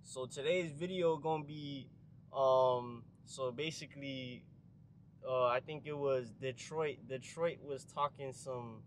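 A young man vlogging casually to his viewers in heavy street slang, getting loud and hyped outdoors around cars. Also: mid-range voice, slight background noise. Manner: hyped slang-heavy street talk, yelling when excited. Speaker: car vlogger. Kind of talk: casual vlog talk to viewers.

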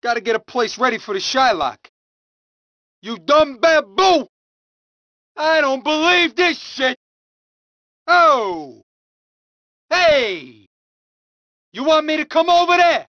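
A brash, hot-tempered young mob enforcer barks threats and insults in a video game, voiced by a woman. His voice sits high and bright, with wide, lively swings in pitch. He drags out each line slowly and menacingly. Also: no room sound, lo-fi sound, heavily acted. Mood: enraged shouting. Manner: snarling street-tough threats and taunts.

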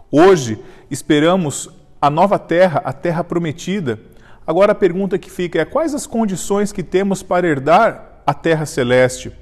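Earnest preacher man, light high voice. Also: long reverb tail. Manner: earnest, measured, reads scripture aloud slowly. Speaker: Bible study teacher. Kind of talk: devotional Bible lesson with closing prayer. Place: indoor studio set with armchair and flowers.

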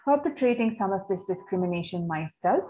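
A soft-voiced woman from India, answering a webinar question in an earnest, reflective, articulate way over a video call. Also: mid-range voice, expressive, brisk. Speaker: guest speaker. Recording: slightly dull video-call audio.

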